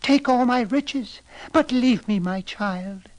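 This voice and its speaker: A man's voice: extremely high pitch, slow pace, pitched above the performer's own voice, rough recording. A man voicing a distressed young girl, later a queen, in a storybook tale, plaintive and fretful. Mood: desperate pleading. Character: distressed young poor girl who becomes a pleading queen.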